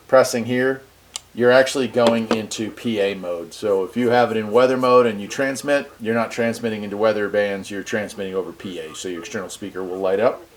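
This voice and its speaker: A man giving a casual, folksy product demonstration, thinking aloud as he goes. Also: fairly low pitch. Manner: informal, folksy, thinking aloud while operating a device. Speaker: host of a radio review channel. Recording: clear steady background noise.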